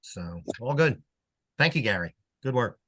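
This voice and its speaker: A man's voice: mid-range voice, big pitch swings, a little slow, crowd noise. A man giving a casual, conversational report in an online club meeting. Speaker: club publicity volunteer. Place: online video call.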